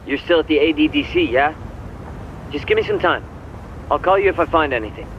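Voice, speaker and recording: Casting: male actor as a helpful, casual game informant, somewhat high voice, lo-fi sound with faint noise. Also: animated, a little fast, close to an everyday voice. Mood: obliging. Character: casual, obliging male contact helping an investigator. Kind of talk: English game dub dialogue. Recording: dull band-limited sound, faint vehicle noise.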